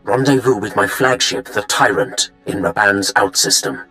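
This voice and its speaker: An imperious, low-voiced dark lord. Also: male voice, normal speed, soft background music. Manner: formal, imperious. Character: commanding male dark lord directing his armies. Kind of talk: dramatic video game voice acting.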